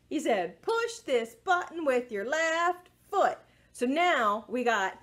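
A woman with a high voice hosts storytime for young children. She takes her time and swings her pitch around playfully, like a librarian reading aloud and leading action songs. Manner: animated, playful, sing-song delivery.

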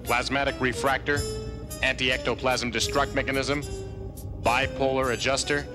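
An actor playing a precise, earnest cartoon scientist with a deadpan, formal manner. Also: male voice, medium pitch, normal speed, lightly acted. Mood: methodical. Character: earnest, precise, brainy male scientist ghost hunter. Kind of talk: cartoon voice acting. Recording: music behind the voice.

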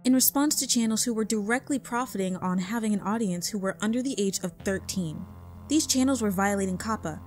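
A woman reading scripted narration as the narrator of a video essay. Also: medium pitch. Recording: background music under voice. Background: music.

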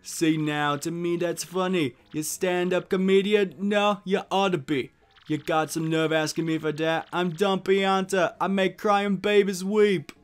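A man voicing a gruff, menacing mob boss in a video game, with tough-guy gangster slang. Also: high-pitched, steady tone, measured pace, faint music behind. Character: gruff, menacing male mob boss, big cartoon creature. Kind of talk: video game character dub.

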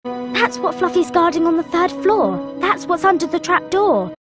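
Schoolgirl witch, high, animated, bossy know-it-all, precise, game dialogue. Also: normal speed, over music. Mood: lecturing. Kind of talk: video game voice acting.

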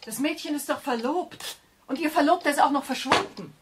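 An actress playing a sharp-tongued, dry assistant to a detective in a 1970s radio crime drama. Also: female voice, high-pitched, a little fast, heavy background noise, lightly acted. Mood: tart, indignant. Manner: tart, dry, scolding.

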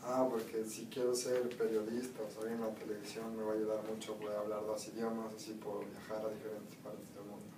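A young man from Mexico giving relaxed, plain interview answers in a small indoor room. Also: on the low side, fairly quick, hardly any pauses. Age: young adult. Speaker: student interviewee. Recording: distant echoey room, clear noise.

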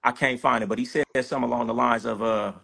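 A man's voice: mid-range voice, steady tone, fast, creaky, fairly bright tone, husky. A man from Sacramento talking bluntly and profanely as a guest on a casual video-call podcast. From Sacramento, California.

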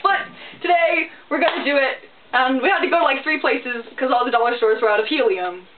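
A woman's voice: high voice, a little fast, narrow, tinny audio. A young woman hosting a casual vlog, chatting with friends in a bedroom on a camera mic. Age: young adult. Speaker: vlog host. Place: small bedroom, indoors. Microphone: camera mic at a short distance. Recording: thin, noisy, distant camera mic.

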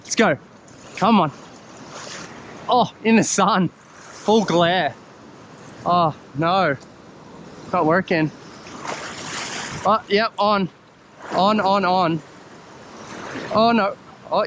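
A man's voice: sits high, a bit bright. A man with an Australian accent hosting a fishing show, giving chatty running commentary from a rocky ocean ledge and exclaiming often as he fights fish. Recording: outdoor surf noise. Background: crashing surf and waves.

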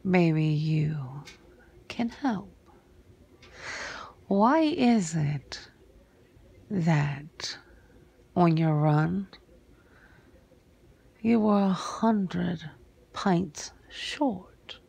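Woman acting a breathy, very slow, menacing vampire boss. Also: fairly low pitch, expressive, a little mellow, heavily acted. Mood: mock-sweet menace. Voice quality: breathy, husky, often sinking into a whisper. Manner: slow, deliberate, menacing drawl with long pauses. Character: menacing female vampire crime boss, cold and sardonic. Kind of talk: ASMR roleplay.

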